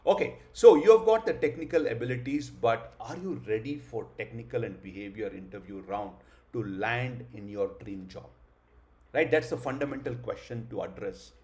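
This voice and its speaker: A man's voice: mid-range voice, expressive, moderate pace, dark tone. A middle-aged man giving a live online class, earnest, with many hesitant fillers and rhetorical questions. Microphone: video call.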